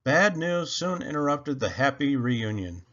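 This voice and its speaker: A man's voice: medium pitch, sing-song, a little slow, a bit bright. Man reading a storybook, dull lo-fi sound. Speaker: storybook reader. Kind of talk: scripted read-aloud storytelling.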